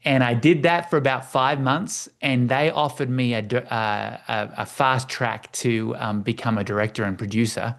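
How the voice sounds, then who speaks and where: A man's voice: mid-range voice, steady tone, moderate pace, vocal fry, hardly any pauses, fairly bright tone. A middle-aged man from Tasmania with an Australian accent, telling his story casually as an interview guest on a video call. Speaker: interview guest, church staffing executive.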